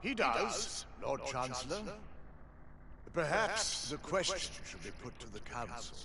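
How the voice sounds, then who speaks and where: A man's voice: on the high side, very animated, measured pace. A man playing a gracious, proud aristocratic lord in a video game drama, speaking in a polite, formal, assured manner. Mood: respectful. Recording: echoey game audio, loud crowd behind.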